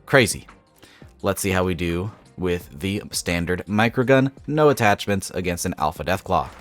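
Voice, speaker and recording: A man's voice: sits low, normal speed, faint music behind. A young man with a cold and a slightly stuffy voice, hosting a gaming channel and walking through a game mod step by step in an upbeat, casual voice-over.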